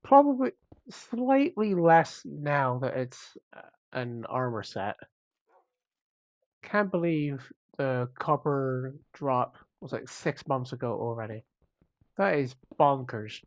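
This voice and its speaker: A man with a cold, sounding croaky and sniffly, rambling casually as he comments on his own gameplay, with self-mocking asides. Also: mid-range voice, lively intonation, slow, dry recording. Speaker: gaming channel host.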